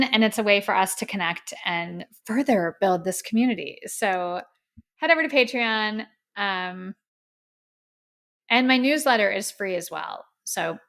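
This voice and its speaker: Slightly hoarse woman, gravelly podcast host. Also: medium pitch, fairly bright tone. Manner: earnest, effusive, rambling. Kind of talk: solo podcast sign-off. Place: in front of a camera. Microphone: studio microphone.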